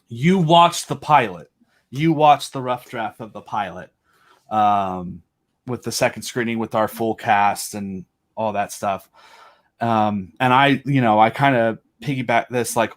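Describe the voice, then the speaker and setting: A man talking casually as a podcast guest, earnest and rambling as he thinks aloud. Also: medium pitch. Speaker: podcast guest, independent audio drama creator. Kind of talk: casual chat.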